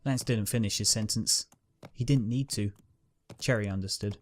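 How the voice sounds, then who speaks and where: Calm man reading a pony fanfic. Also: on the low side, moderate pace. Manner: calm, steady storytelling. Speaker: narrator. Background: guitar music.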